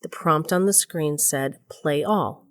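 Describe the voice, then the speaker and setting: A woman's voice: low-pitched, slow pace. An actress playing a sardonic, weary older woman scientist who narrates her own story in a dry way. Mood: reflective. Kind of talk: first-person audio drama narration.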